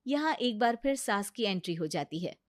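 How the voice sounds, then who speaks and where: A woman's voice: mid-range voice, animated, moderate pace, no echo, studio quality. A woman narrator reading a scripted moral story for a Hindi cartoon.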